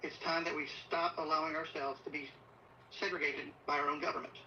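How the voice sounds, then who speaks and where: A middle-aged man, a police official, delivering an earnest prepared video statement in a solemn, deliberate, urgent way. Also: a little high, flat delivery, sharp tone. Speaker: assistant police chief. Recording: distant, muffled playback with faint noise. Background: faint background noise.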